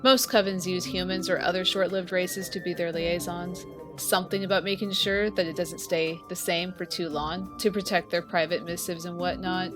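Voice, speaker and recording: A woman's voice: fairly low pitch, level tone, normal speed, over music, lightly acted. A woman playing a seasoned, friendly vampire hunter who mentors a newcomer in a conversational, teasing way in a fantasy audio roleplay. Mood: explaining, calm. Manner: conversational, explanatory, playful teasing. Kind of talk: scripted fantasy audio roleplay addressed to the listener.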